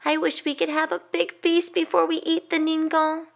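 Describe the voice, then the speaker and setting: A woman's voice: extremely high pitch, flat delivery, normal speed, gravelly, voice pushed up. A woman voicing a hungry, wistful middle brother, a pleading boy in a storybook. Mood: hungry, wishful.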